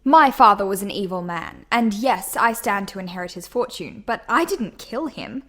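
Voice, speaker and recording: A woman's voice: medium pitch, expressive, moderate pace, fairly bright tone. A woman playing a rich man's defensive, earnest daughter giving testimony in a radio mystery play. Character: defensive, principled tall daughter of a murdered tycoon.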